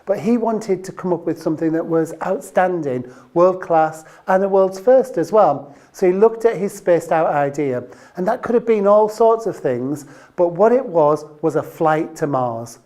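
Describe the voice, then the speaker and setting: A woman's voice: lowish voice, expressive, moderate pace, warm tone. A woman presenting an instructional business training video, addressing the viewer directly and leaning on key terms.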